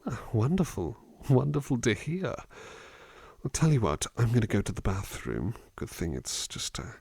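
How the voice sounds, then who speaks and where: A man playing a gentle, attentive butler in an ASMR roleplay, musing in a polite, hesitant, formal way into a close microphone. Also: medium pitch, wildly swooping pitch, moderate pace, vocal fry, slightly rough, no echo, full character voice. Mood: politely attentive. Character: gentle, musing, attentive male butler looking after someone. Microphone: close dry microphone.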